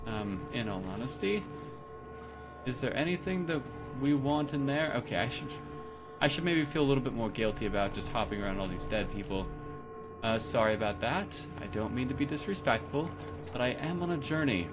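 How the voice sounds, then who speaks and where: A man's voice: mid-range voice, animated, a little fast. A man playing a horror game and chatting casually, self-mocking and talking to his character. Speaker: let's play gamer. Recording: game music behind.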